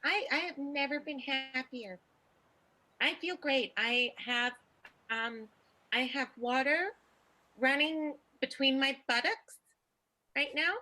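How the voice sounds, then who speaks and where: A woman's voice: high-pitched, slow pace, pauses often, sharp tone, full character voice, rough recording. A woman playing an upset vaudeville sweetheart who insists she is happy, brittle and repetitive, in an improvised radio play. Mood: seething, deadpan. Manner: brittle, repetitive, sarcastically cheerful. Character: upset woman insisting through clenched cheer she's happy.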